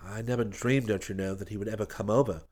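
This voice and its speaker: A man's voice: lowish voice, fairly even intonation, fast talker, darkish tone, slightly rough, pitched below the performer's own voice. A man doing a gloomy, broke young toff. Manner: plaintive, slangy upper-class chatter. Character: hard-up, gloomy young gentleman.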